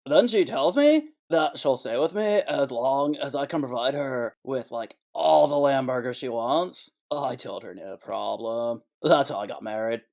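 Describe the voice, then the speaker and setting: A man playing a chatty, weary slaughterhouse worker in a comic noir audio play, rambling and deadpan. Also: medium pitch, unhurried, vocal fry, hardly any pauses. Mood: chatty, blunt.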